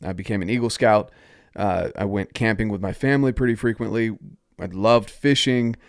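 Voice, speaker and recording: A young man hosts a solo podcast, stuffed up from a cold, his voice sitting low. He talks in an upbeat, encouraging way and keeps inviting listeners to join in. The sound is close and dry. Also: normal speed, smooth, no echo. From North Carolina. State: stuffed-up nose. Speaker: podcast host.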